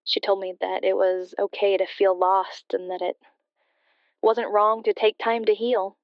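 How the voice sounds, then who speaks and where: A woman's voice: medium pitch, little pitch movement, measured pace, smooth, no room sound, natural voice. A woman playing a grieving daughter phoning a late-night radio show, telling her story in a hesitant, subdued way over a muffled line. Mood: hesitant, wistful. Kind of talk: confessional storytelling in radio drama. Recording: muffled, band-limited phone-in sound.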